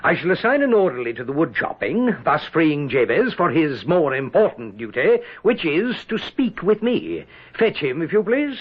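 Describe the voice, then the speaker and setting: A man's voice: fairly high pitch, big pitch swings, moderate pace, hardly any pauses, husky. A man playing a haughty British general in a radio drama, speaking in a formal, sardonic, imperious manner. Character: haughty, wry male British general in wartime. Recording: dull, muffled old radio broadcast.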